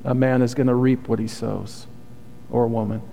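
A man's voice: mid-range voice, level tone, moderate pace, creaky, a little hiss or hum. Soothing-voiced guy preaching a heartfelt sermon, church reverb trailing off. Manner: earnest, heartfelt preaching with soft confessional asides. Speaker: pastor. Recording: long hall reverb.

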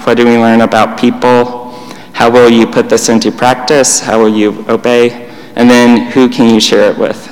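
A young man teaching in a church hall, his voice flat and monotone with little rise or fall. He talks plainly and unhurriedly, pausing and restarting as he goes. His words ring out with a long hall reverb behind them. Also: mid-range voice. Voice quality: monotone delivery. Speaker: church speaker.

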